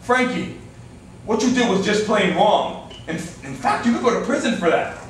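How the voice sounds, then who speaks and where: A man's voice: sits high, animated, moderate pace. Man playing a stern father, very echoey room. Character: concerned, stern father of a boxer. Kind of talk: staged play reading. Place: indoor room, live staged reading. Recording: echoey room, distant mic, faint noise.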